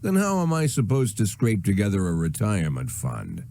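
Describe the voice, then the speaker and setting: An actor playing a jaded, aging police chief in a video game, with wry, world-weary, deadpan noir delivery. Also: male voice, on the low side, normal speed. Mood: deadpan, guarded. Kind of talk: noir scripted narration and drama dialogue.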